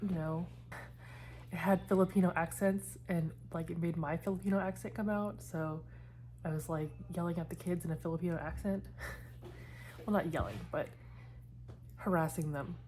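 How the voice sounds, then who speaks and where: A woman's voice: on the low side, moderate pace, long pauses, mellow, poor audio. A woman in her thirties chatting casually in a book vlog, meandering and trailing off as she talks. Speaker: book vlogger. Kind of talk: casual chat.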